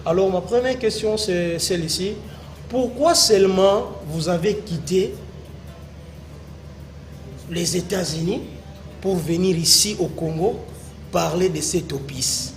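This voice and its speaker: A man hosting a television interview, animated, switching between French and Lingala. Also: high-pitched, slow pace, long pauses, soft background music. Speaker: TV host.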